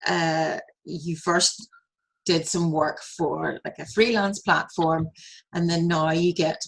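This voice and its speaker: A woman's voice: low-pitched, fairly even intonation, takes it slowly, vocal fry, rough recording. A woman hosting an interview podcast, chatting in a loose, conversational way with frequent restarts. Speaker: podcast host.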